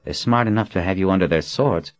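Impatient female bodyguard, curt and dangerous, voiced by a man; low, dull audiobook sound. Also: a little fast, dry recording, lightly acted. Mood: menacing. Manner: curt, menacing.

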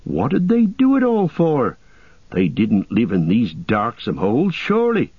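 A man's voice: mid-range voice, huge pitch swings, measured pace, breathy edge, no echo, narrow, tinny audio, full character voice. A man voicing a humble, plainspoken young hobbit in a homely, naive, lilting manner for an audiobook. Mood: wondering.

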